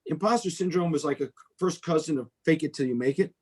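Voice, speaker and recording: Middle-aged male guest speaker, American accent, relaxed dark timbre, informal video-call talk. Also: fairly high pitch, fast talker, breathy edge. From United States. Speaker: venture capitalist, guest speaker.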